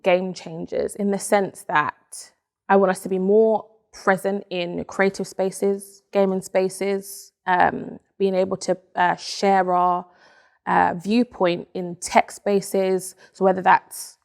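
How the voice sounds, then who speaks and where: A young woman from Nigeria, an interview guest in a dark studio, speaking earnestly and with self-assurance on a lapel mic. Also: mid-range voice, little pitch movement, slow, gravelly. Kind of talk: casual interview chat. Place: dark studio set, indoors.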